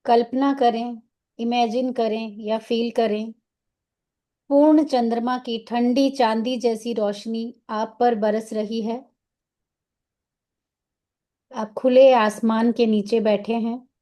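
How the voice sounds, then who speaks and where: Slow, mellow woman guiding meditation. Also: on the high side, steady tone. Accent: Indian. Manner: soothing, unhurried, instructing in short phrases with pauses. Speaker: guided meditation teacher. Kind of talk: guided meditation.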